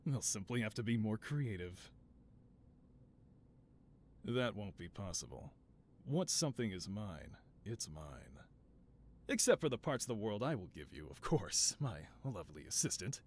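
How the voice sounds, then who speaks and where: Casting: male actor as affable mad scientist villain for audio roleplay, lowish voice, swooping delivery, grandiose yet devoted. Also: big pitch swings, unhurried, pauses often, slight background noise. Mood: grandiose, playful. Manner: doting, theatrical, softly reassuring then grandiose. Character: affable, theatrical supervillain mad scientist boss, devoted to assistant. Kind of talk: scripted audio roleplay, one-sided conversation.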